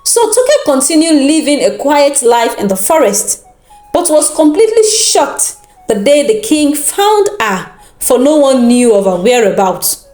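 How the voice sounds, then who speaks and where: A woman with a Nigerian accent telling a scripted folktale in a dramatic, deliberate and expressive manner. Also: high-pitched. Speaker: storyteller. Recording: roomy echo with background music. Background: music.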